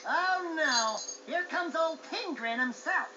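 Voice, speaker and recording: Squeaky, mouthy little sidekick creature. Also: male voice, animated, full character voice. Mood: nervous bravado. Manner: brash, whiny, fast-mouthed. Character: small, mouthy, cowardly wisecracking male sidekick creature. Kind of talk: animated game cutscene acting. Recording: dull game audio, clear background noise.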